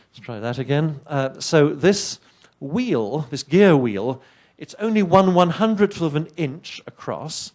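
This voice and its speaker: Man with an English accent, middle-aged, English not his first language, chatty conference talk, wry, fairly high voice. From England. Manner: lively, chatty, wry asides to the audience. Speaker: conference speaker. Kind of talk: science presentation to an audience.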